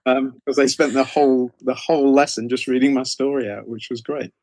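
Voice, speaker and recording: A middle-aged man with a British accent talks casually in an interview. His pitch is average for a man and he wanders conversationally through his points with a modest air. The recording is dry, with hardly any room sound. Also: level tone, brisk, warm tone, no room sound, rough recording. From United Kingdom. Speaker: interview guest, novelist.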